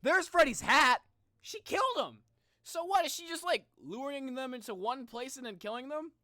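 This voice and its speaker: Streamer, male, high and lively voice, excitable slangy reactions with repeated phrases, close dry sound. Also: normal speed, no echo. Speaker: streamer reacting to game videos. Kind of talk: casual live reaction commentary.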